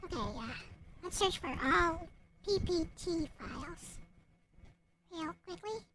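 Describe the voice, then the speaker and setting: A woman with a strikingly high, childlike pitch talks casually to herself. She goes slowly and haltingly, as if thinking aloud at a computer. There is faint noise in the recording. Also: lively intonation, pushed and bright. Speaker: visual novel creator narrating. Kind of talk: casual chat while searching computer files.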